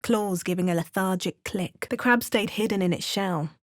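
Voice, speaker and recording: An actress voicing a sardonic female adventurer in a game, delivering dry, sarcastic quips and calm narration. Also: medium pitch, normal speed, no echo, natural voice. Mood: wry. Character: sardonic adult female adventurer, wry and unflappable. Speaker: player character voice actor.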